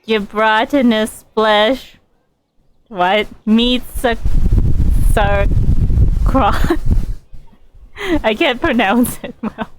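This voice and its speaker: Slow-talking woman streamer with casual commentary. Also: on the high side, a little hiss or hum, poor audio. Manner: giggly, hesitant phrasing. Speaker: game streamer. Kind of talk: casual reaction commentary over gameplay.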